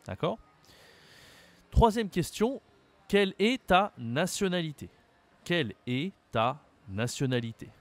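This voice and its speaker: Patient French teacher, slow and lively. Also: male voice, a little high, no echo. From France. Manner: patient, repeats words slowly and spells letter by letter. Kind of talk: slow, carefully articulated language lesson.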